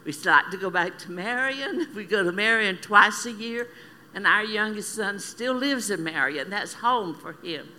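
A woman's voice: medium pitch, lively intonation, moderate pace, pushed and bright, a little hiss or hum. An older woman speaking in church about missionary moves, laughing often as she talks. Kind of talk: church testimony. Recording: reverberant hall with faint ambience.

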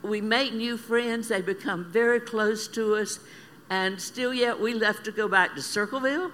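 A woman's voice: on the high side, normal speed, fairly bright tone. An older woman speaking in church about missionary moves, laughing often as she talks. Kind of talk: church testimony. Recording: reverberant hall with faint ambience.

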